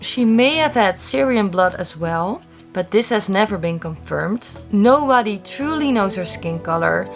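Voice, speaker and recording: A woman's voice: mid-range voice, expressive, a little slow, vocal fry, faint music behind. A young woman who hosts a mystery channel, telling history in a friendly, chatty way with wry little asides. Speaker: YouTube host of a mystery channel. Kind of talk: casual scripted talk to camera.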